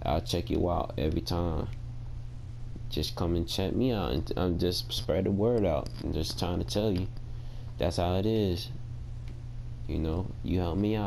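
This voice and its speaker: A tired young man with a gravelly voice, chatting casually to his viewers in laid-back slang while commenting on his gameplay. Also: low-pitched, warm tone, a little hiss or hum, low-quality recording. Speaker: gaming channel host. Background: game music.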